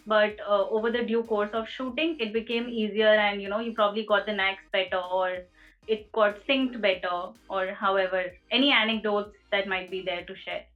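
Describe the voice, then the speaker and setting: Halting woman interviewer, dull lo-fi sound. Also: mid-range voice. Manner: halting, long questions full of "uh". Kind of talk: interview questions. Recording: dull, lo-fi audio.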